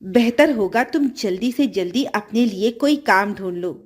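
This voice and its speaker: A woman's voice: medium pitch, animated, moderate pace, a little mellow, pitched below the performer's own voice, natural voice. A woman voicing a greedy, bossy stepmother in a children's fairy tale, with an insistent, demanding manner. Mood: stern, pressing.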